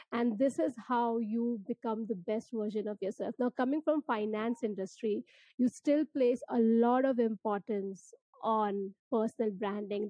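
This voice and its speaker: A woman hosting an interview, asking questions in a measured, complimentary way. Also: on the high side, warm tone, dry recording. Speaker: host. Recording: muffled call audio.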